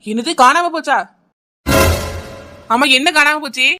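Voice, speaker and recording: A woman's voice: sits high, measured pace, pushed and bright, faint music behind. A woman playing a blunt, impatient village neighbour in a comic cartoon, with a southern Tamil Nadu accent. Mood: teasing. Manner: blunt, scolding.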